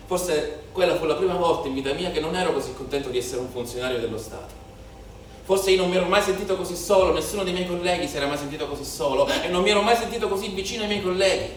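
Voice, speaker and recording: A man's voice: a little high, fast talker, lightly acted. Man acting a troubled policeman, echoey and distant. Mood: troubled, subdued. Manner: intense, repetitive, rhetorical delivery. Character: troubled young policeman confessing his part in riot violence. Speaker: stage actor in a monologue. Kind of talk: theatrical dramatic monologue. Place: theatre stage or performance space. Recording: very echoey room, distant mic, some noise.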